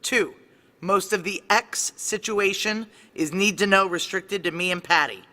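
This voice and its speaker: Security chief, female, noir radio play. Tough, wry, clipped, low forceful voice. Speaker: lead actor and narrator. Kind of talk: noir audio drama narration and dialogue.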